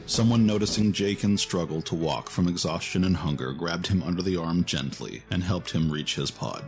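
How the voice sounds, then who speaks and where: A man's voice: deep voice, moderate pace, a little mellow. A man narrating a dramatic audio story from a script. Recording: music behind the voice.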